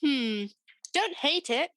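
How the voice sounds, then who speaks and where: Woman doing a breezy smug radio comedy cohost, high voice, literal minded, dry recording. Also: animated, slow, a bit bright, no room sound, exaggerated voice. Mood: offhand.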